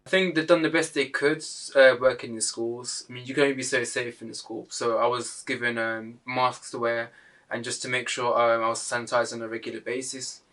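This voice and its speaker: A man speaking as an interviewee in a casual, chatty way, with plenty of hesitant fillers. His tone is bright and forceful. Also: mid-range voice, level tone, a little fast. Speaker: school worker interviewee.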